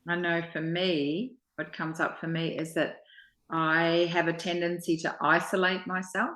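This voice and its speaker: A low-pitched woman speaks very slowly, sharing personal reflections. Her phrasing is halting and thoughtful, and the sound is roomy and echoing. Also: flat delivery, fairly bright tone.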